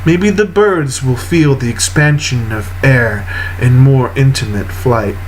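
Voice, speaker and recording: A man reading poetry aloud in a solemn, literary style, with deliberate, measured phrasing and frequent pauses. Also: mid-range voice, a little hiss or hum, low-quality recording. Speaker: poetry reader.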